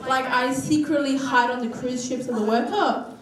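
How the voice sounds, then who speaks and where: A woman's voice: sits high, fairly even intonation, moderate pace, noisy background. A woman from Somalia doing a chatty, self-mocking stand-up set on a club stage. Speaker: stand-up comedian. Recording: roomy club sound from a distance with crowd laughter.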